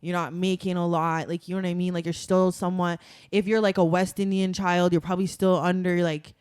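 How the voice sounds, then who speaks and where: Young woman, smooth dry voice. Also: on the low side, flat delivery, a little fast, a little mellow, no room sound. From Toronto, Canada. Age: young adult. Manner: chatty and emphatic, many "like" fillers. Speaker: guest, carnival masquerader. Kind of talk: casual podcast conversation.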